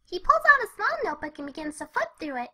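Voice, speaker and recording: Very high, bright, bubbly cartoon pony. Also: female voice, quick pace, heavily acted. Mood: animated storytelling. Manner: perky, chatty, expressive. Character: bubbly, excitable young female cartoon party pony. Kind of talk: first-person storybook narration with character dialogue.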